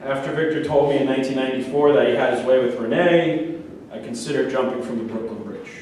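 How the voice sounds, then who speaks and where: A man's voice: medium pitch, fast, a little mellow, close to an everyday voice. Man acting defensive delusional patient, echoey distant recording. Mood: flirtatious, insistent. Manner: argumentative, rambling, self-justifying. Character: defensive, grandiose male psychiatric patient, flirtatious and delusional. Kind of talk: staged reading of a stage play. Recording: very echoey room, distant mic, faint noise.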